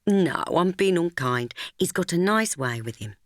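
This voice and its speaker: Woman reader plays lively teen girl; teasing, lilting, dry booth. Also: fairly low pitch, unhurried, no room sound. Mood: eager. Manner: teasing, coaxing, whispery at times. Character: teenage girl, lively, restless, sulky. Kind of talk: audiobook dialogue.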